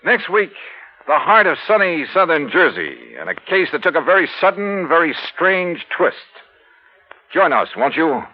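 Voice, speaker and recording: A man's voice: on the high side, very animated. An actor playing a wry, confident male insurance investigator in a 1950s radio detective drama. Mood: brisk, narrating. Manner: wry, brisk, hard-boiled. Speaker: lead actor and narrator. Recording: dull old radio broadcast.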